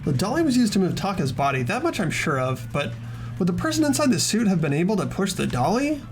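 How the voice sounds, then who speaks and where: A man voicing an earnest teenage boy detective who reasons deliberately through clues in a fan-dubbed game. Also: high-pitched, sing-song, soft background music. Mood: earnest, reasoning. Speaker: amateur voice actor in a group playthrough. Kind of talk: fan dub of a visual novel game.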